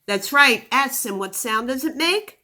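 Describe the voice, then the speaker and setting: A woman's voice: sits high, expressive, a little slow, pushed and bright. A woman teacher giving a phonics lesson to young children, encouraging them with praise and questions and sounding out words with exaggerated articulation.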